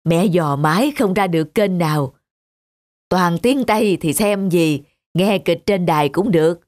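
An actress voicing a gentle, frugal elderly country mother in an animated drama, homely and unhurried in manner. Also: on the low side, lightly acted. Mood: caring.

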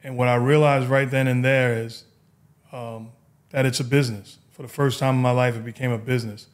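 A middle-aged man with a New York accent, hosting a casual podcast chat and telling stories in a relaxed, reflective way from a high-rise studio on a boom mic. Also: fairly quick. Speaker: podcast host. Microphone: studio mic on boom arm.

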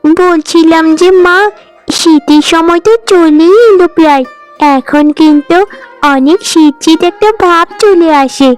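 A woman's voice: extremely high pitch, fairly even intonation, unhurried, faint music behind. A woman playing a poor maid's young daughter in a cartoon, girlish and earnest in manner. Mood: pleading. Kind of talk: animated Bengali folk-tale cartoon acting.

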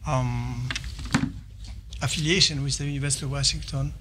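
An older man from Poland with a Polish accent, giving a formal presentation and often pausing with fillers and restarts. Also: mid-range voice, steady tone, very noisy. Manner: halting, with many fillers and restarts. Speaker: visiting scholar presenting. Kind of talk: formal presentation to a small audience. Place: office meeting room.

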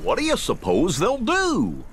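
A man voicing a goofy, gentle dog knight in a video game cutscene. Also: sits high, wildly swooping pitch, measured pace, slight background noise, heavily acted. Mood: wondering. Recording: dramatic game music behind.

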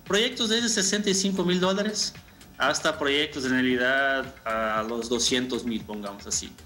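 A man in his thirties with a bright, forward voice of average pitch gives explanatory interview answers over a video call. He is slightly halting and repeats phrases, with music faintly behind him. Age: 30s. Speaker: marketing manager, interview guest. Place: indoor room, on a video call.

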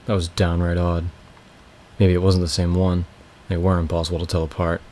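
A young man with a raspy voice, reading a fantasy novel aloud as a home audiobook from his bedroom. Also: sits low, a little hiss or hum. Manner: slow, casual intro then steady reading. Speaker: amateur audiobook reader. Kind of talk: audiobook narration.

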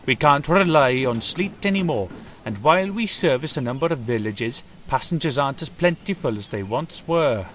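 A man's voice: mid-range voice, animated, normal speed, fairly bright tone, slight background noise, close to an everyday voice. A man voicing a proud, assuring railway controller in a children's storybook, making formal, authoritative speeches. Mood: grave.

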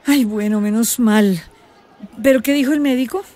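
A woman's voice: a little high, moderate pace, dark tone, some chatter behind, close to an everyday voice. An actress playing a protective, determined and affectionate mother with a Colombian accent in a radio drama. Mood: concerned.

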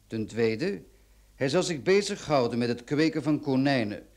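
Brief: male actor as fussy notary, mid-pitched, formal and deliberate, vintage radio drama. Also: animated, darkish tone, natural voice. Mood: formal, declaiming. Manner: formal, deliberate, shushing interruptions. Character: brisk, fussy male notary reading out a will. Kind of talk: old Dutch radio play acting.

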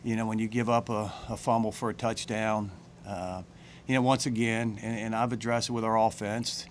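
A middle-aged man, a head football coach, answering questions at a postgame press conference in plain, halting speech full of fillers and restarts, into a table mic. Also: fairly low pitch, level tone, a little hiss or hum. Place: press room with branded backdrop. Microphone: table mic on a stand.

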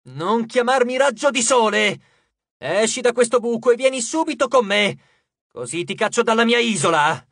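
A man's voice: very high voice, lively intonation, moderate pace, a bit bright, dry recording, studio quality, pitched above the performer's own voice, exaggerated voice. A man voicing a furious, haughty teenage goddess in an audiobook, exclaiming with imperious indignation. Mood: angry, scornful. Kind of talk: audiobook character voice.

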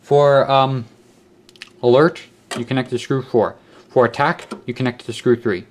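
A man's voice: mid-range voice, fairly even intonation, measured pace. A man narrating a casual how-to demonstration step by step, in an instructional way, on a handheld camera. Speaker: host of a hobby electronics how-to video. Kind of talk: casual hands-on tutorial narration. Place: cluttered indoor workshop or utility room. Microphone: handheld camera microphone. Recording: clicks and clear background noise, handheld camera.

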